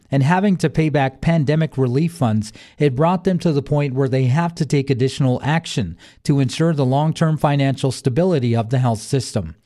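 A man's voice: fairly high pitch, mellow. A man reading a scripted local news and sports bulletin as the newsreader. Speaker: local radio news reader.

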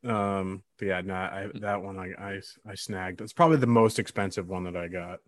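A man's voice: sits low, fairly even intonation, moderate pace. A man co-hosting a podcast in casual, joking banter. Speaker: podcast co-host.

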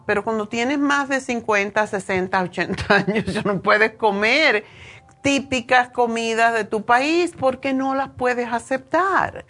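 A woman's voice: a little high, sharp tone. A woman from Cuba in her sixties with a Cuban Spanish accent, giving an informal, opinionated health talk with many hesitations. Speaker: nutrition show host.

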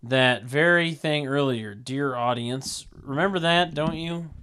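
A man narrating a script table read and bantering casually, with a deadpan, wry manner. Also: medium pitch, a little slow, clean tone.

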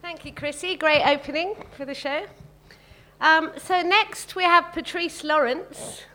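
A woman's voice: extremely high pitch, a little slow, sharp tone. A woman hosting a live literary event and introducing the next reader. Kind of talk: spoken introduction of performers.

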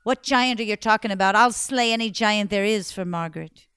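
A woman voicing a brash young man who speaks in a loud, bold, declaiming way. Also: mid-range voice, fairly quick, clear, resonant tone, no echo, clean studio sound, close to an everyday voice. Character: brash brave young man, a would-be hero.

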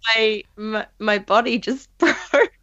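Brief: playful female co-host, higher voice, dry dull sound. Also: slow, sharp tone, dry recording. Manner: playful, self-deprecating storyteller. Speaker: commentary co-host. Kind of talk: casual group movie commentary.

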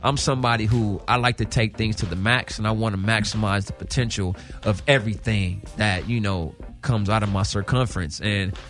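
A man's voice: on the low side, fairly even intonation, brisk, few pauses, fairly bright tone. Slangy Los man, music behind him. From South Central Los Angeles, California. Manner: emphatic, slangy, repeats phrases and checks in with listener. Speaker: guest, music artist. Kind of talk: casual radio interview chat. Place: radio show studio.

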